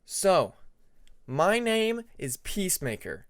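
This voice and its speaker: A man voicing a nervous young dragon student, lively pitch. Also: slow pace, dry recording, studio quality, exaggerated voice. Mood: nervous presenting.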